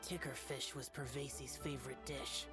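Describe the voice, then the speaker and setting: A performer voicing a stern, aloof immortal demon-slaying guardian, terse and solemn, in an anime game. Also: female voice, extremely low pitch, steady tone, measured pace, over loud music. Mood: reserved.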